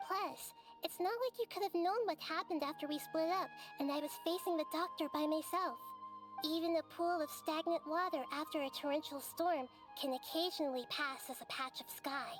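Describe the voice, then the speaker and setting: A childlike goddess from a game, played by an actress, very high and light yet calm and wise. She talks thoughtfully and reaches for obscure analogies. Soft game music hums faintly in the background. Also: normal speed, close to an everyday voice.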